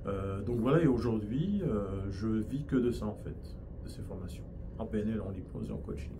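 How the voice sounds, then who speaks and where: A man's voice: lowish voice, moderate pace, creaky, noisy background. A man from France with a French accent, a hypnosis and NLP trainer explaining his field in an interview, with frequent restarts.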